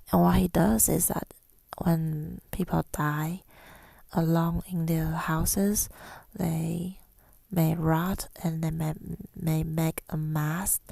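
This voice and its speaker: A woman telling a personal story in ASMR style, softly and breathily, often near a whisper, with a halting, reflective delivery. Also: on the low side, vocal fry, no room sound. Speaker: ASMR creator.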